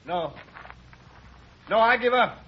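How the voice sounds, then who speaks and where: An actor playing a cowardly, desperate outlaw in an old radio western, pleading and bargaining. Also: male voice, high-pitched, lively intonation, takes it slowly. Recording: muffled, noisy old radio drama recording.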